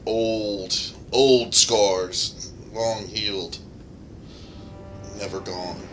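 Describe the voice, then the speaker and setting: A man comments casually on his gameplay in a fairly low voice. He is blunt and offhand, and loud music plays under everything he says. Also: fairly even intonation, drawn-out words. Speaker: gamer commenting on own mod.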